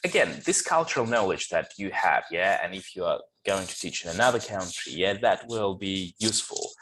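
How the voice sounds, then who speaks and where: Deep-voiced man host, webinar, loose delivery peppered with "yeah". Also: animated, moderate pace, fairly bright tone, slight background noise, rough recording. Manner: frequent "yeah" tags and fillers. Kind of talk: webinar discussion.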